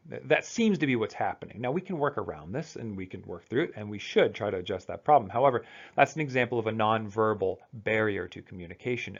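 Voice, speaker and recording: An American man lectures to students in a relaxed, conversational manner, pausing and restarting as he thinks aloud. His voice has a gravelly, creaky edge, and the recording sounds dull and lo-fi. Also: mid-range voice. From United States. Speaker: college instructor.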